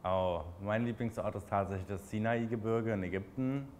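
A man in his twenties with a Berlin accent, answering interview questions in a relaxed, chatty way with many fillers. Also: on the low side, normal speed, faint music behind. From Berlin, Germany.

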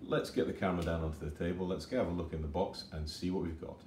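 A man in his forties giving a chatty, unhurried model kit review at his kitchen table. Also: deep voice, steady tone, darkish tone, a little hiss or hum. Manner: chatty and unhurried, with fillers and self-corrections. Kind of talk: casual unboxing review.

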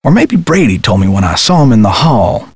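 An actor playing a kindly, folksy old theater projectionist in a video game, with old-fashioned turns of phrase and a husky voice. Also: male voice, on the low side, big pitch swings, a little fast, no room sound, clean studio sound, heavily acted. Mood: concerned, friendly. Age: older. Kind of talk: scripted video game character dialogue.

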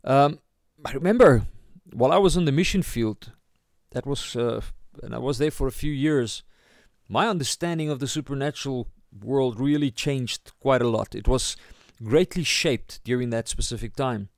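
A man with a South African accent giving an informal sermon as a pastor, halting as he searches for words. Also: medium pitch, a little mellow, no room sound, clean studio sound. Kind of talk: informal sermon, Bible teaching.

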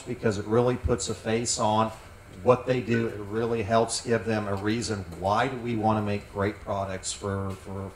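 A middle-aged man speaking on a panel as a site manager, earnest and full of fillers, into a handheld mic in a large tent. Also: on the low side. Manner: earnest, with frequent fillers and repeated words. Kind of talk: panel discussion. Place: large tent at an outdoor event. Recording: roomy sound from some distance, faint noise.

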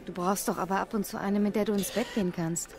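An actress playing a frank, outspoken young daughter in audio drama dialogue. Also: female voice, mid-range voice, steady tone, fast, crowd noise, natural voice. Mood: teasing. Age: young adult.

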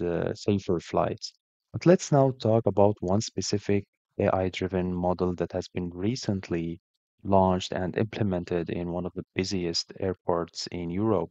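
Man, low voice, scripted explainer, formal measured delivery, dry close recording, dull lo-fi sound. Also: a little mellow, no echo. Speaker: presenter.

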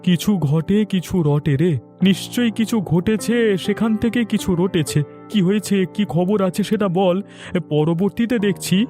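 A man's voice: high voice, normal speed, warm tone, soft background music, natural voice. A man voicing a wronged, aggrieved village elder brother in a dramatic cartoon. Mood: determined.

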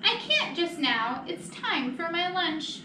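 A woman's voice: extremely high pitch, sing-song, takes it slowly, full character voice. A woman voicing a small, boastful, stubborn mouse in a picture-book read-aloud, cocky and insistent, in an echoey room. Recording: echoey room, distant mic, noisy.

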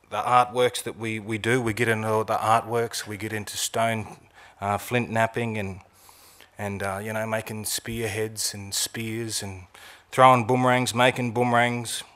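A middle-aged Australian man speaking at a conference, relaxed and rambling, with many "you know"s. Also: fairly low pitch, a little slow. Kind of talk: conference talk. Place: conference stage, indoors.

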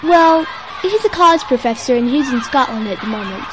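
A woman's voice: sits high, animated, brisk. A woman playing an easygoing, joking female school student in a casual scripted English lesson dialogue. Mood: easygoing, playful. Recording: noisy, with crowd sounds behind.

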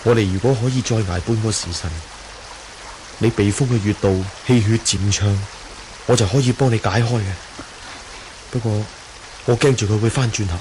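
A man's voice: fairly low pitch, fairly even intonation, moderate pace, pauses often, close to an everyday voice. An actor playing a brave, protective young swordsman in a Hong Kong Cantonese radio drama, earnest and reassuring. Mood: urgent, steady. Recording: faintly noisy 1980 radio drama.

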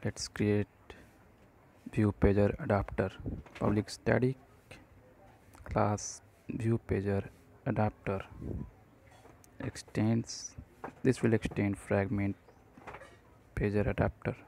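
Slow, low-voiced man narrating a tutorial. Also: flat delivery, creaky, warm tone, slight background noise. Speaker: programming tutorial presenter. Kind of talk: step-by-step screen tutorial narration.

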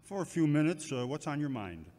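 A man playing a sober, counselling church deacon with a measured, instructive manner in an amateur audio drama. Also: a little high, big pitch swings, dark tone. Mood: sober, advising. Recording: reverberant, faint background ambient noise.

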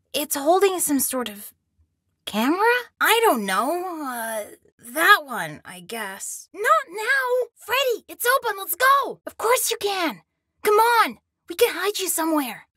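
A woman's voice: sits high, fairly bright tone, no room sound, clean studio sound, exaggerated voice. A woman voicing a young boy in a video game, scared but plucky and resourceful, speaking in an earnest, anxious way. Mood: anxious, urgent.

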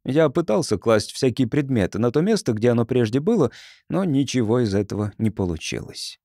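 Lively male audiobook narrator, dry sound. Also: mid-range voice, a little fast, dry recording. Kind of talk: expressive scripted fiction narration.

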